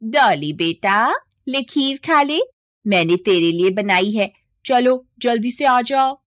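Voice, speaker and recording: A woman's voice: on the high side, expressive, takes it slowly, a bit bright, dry recording, clean studio sound. A woman playing a greedy, two-faced aunt in a moral story, theatrical and scheming. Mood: sugary, coaxing.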